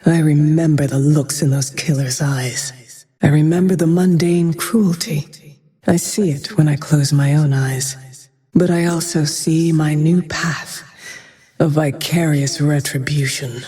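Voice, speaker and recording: Grim female avenger archer for a fantasy game: slow, dark-toned, merciless and composed, in long reverb. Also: low-pitched. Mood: brooding, haunted. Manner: cold, brooding, measured, often dropping to a breathy whisper. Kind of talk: dramatic video game voice lines.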